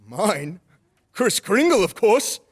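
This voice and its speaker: A jolly, sincere old Santa Claus, played by a man in old-time radio style. The delivery is animated and gentle, rising and falling freely as he talks. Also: high voice, takes it slowly, exaggerated voice. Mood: kindly, jolly.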